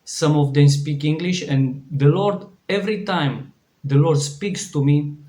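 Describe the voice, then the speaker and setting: Earnest Romanian-accented male preacher, fairly high voice, slow and deliberate, restarting phrases, in a reverberant room. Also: dark tone. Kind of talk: devotional sermon. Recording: echoey room.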